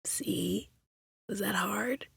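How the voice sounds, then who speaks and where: An actress playing a troubled, sheepish and wry young woman, stammering and halting, in a naturalistic audio drama. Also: mid-range voice, gravelly, fairly bright tone, slightly rough, no echo, exaggerated voice. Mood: remorseful, teasing.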